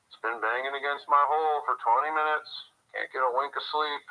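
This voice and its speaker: An actor playing a grumpy veteran boat owner in a radio drama, gruff, blunt and complaining over a telephone line. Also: male voice, mid-range voice, fairly even intonation, brisk, pushed and bright. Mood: irritated. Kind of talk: radio drama phone call.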